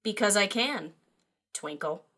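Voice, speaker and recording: A brash young party mare, played by a woman in a fan audio drama. Her voice is bright and forward at a middling pitch, and she tosses out lines with nonchalant, teasing flippancy. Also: lively intonation, unhurried, creaky, exaggerated voice. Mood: smug, teasing.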